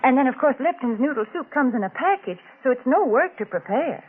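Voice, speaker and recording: A woman giving a folksy, chatty, homey sponsor pitch in 1940s radio advertising style. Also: lively intonation, a little fast, breathy edge. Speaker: sponsor spokeswoman and co-host. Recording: tinny, band-limited old radio recording.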